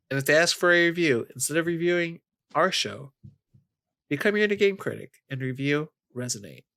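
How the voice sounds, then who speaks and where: A man with a nasal voice of medium pitch talks as a podcast host. The delivery is casual and conversational, and the sound is close and dry. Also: fairly bright tone, no room sound. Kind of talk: casual video game podcast chat.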